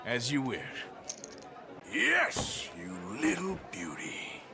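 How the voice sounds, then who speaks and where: An actor playing a menacing yet wry American outlaw gunslinger in a video game, with a dry drawl. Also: male voice, fairly high pitch, wildly swooping pitch, slow pace, vocal fry, heavily acted. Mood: smug, taunting. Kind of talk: cinematic video game acting. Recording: music behind the voice.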